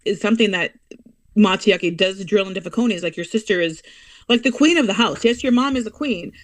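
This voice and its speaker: An American woman talks as a radio guest, earnest and emphatic, slowing down to stress what matters to her. Her voice sits at an ordinary middle pitch. The recording is dry, with no room echo. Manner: earnest, emphatic, speeds up and slows down to stress points. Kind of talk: casual interview chat.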